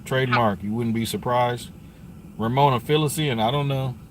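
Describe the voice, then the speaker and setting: A man's voice: medium pitch, normal speed. A man giving casual live-stream commentary on police scanner calls, conversational and thinking aloud. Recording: faint ambient vehicle and scanner noise.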